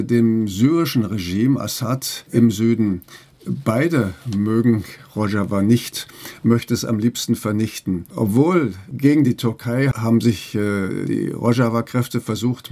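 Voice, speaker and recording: Man, interviewer, mellow dark tone, measured, pauses on fillers. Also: medium pitch, husky. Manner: measured, slow, frequent fillers. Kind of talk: radio interview.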